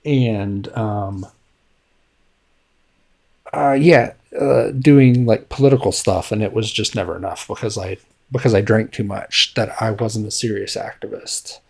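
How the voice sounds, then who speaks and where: A man with a fairly low voice co-hosts a casual podcast. He tells his stories slowly and haltingly, leaning on fillers like um and you know. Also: gravelly, a little mellow. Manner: slow, halting storytelling with many fillers. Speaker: podcast co-host. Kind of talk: casual chat.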